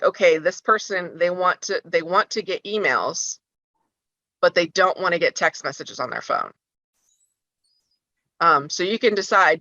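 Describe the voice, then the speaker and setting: A woman's voice: on the low side, pushed and bright, no echo. A woman teaching parents how to use school apps, talking casually with frequent ums and restarts. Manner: conversational, many ums and restarts. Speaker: school tech trainer for parents. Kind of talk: instructional walkthrough for parents. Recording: slightly dull lo-fi sound.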